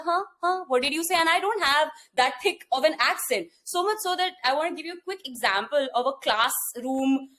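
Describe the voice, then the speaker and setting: High-voiced Indian woman telling a story. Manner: animated storytelling with frequent restarts. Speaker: podcast co-host. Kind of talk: casual podcast chat.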